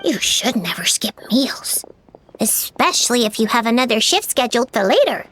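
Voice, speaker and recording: A woman's voice: a little high, big pitch swings, normal speed, a bit bright, slight background noise. A woman voicing a small, curious, kindly girl companion in a video game. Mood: friendly, caring.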